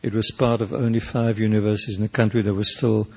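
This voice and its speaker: Older man, south african accent, afrikaans first language, low pitch, husky, reflective, halting. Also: level tone, darkish tone. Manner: thoughtful, halting, many restarts and fillers. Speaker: poet and painter, interview guest. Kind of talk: reflective interview answers.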